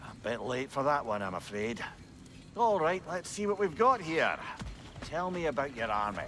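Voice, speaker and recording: A man's voice: medium pitch, big pitch swings, moderate pace, pushed and bright, a little hiss or hum. An actor playing a shrewd, courteous male advisor in a video game, articulate and droll. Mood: droll, incredulous. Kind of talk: video game character acting.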